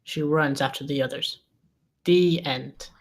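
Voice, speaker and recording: A woman's voice: low-pitched, fairly even intonation, a little slow. A woman reading a script's scene descriptions aloud as narrator at a table read.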